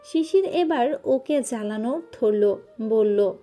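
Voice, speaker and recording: A woman storyteller narrating a scripted romance. Her voice is mellow and slightly high, and her reading is expressive and emotive. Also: takes it slowly, soft background music.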